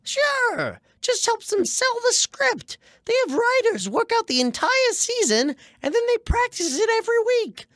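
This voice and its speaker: A man's voice: extremely high pitch, very animated, a little slow, hardly any pauses, a bit bright, no echo, studio quality, exaggerated voice. A man voicing a chatty, cocksure rat con artist with a folksy, wheedling manner in a radio comedy. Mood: insistent, cocksure.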